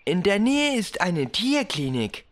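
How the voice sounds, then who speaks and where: A woman's voice: medium pitch, very animated, slow, very noisy. A woman voicing a helpful, cheerful talking smartphone navigator that explains things in a friendly sing-song way for a children's cartoon. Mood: helpful, explaining.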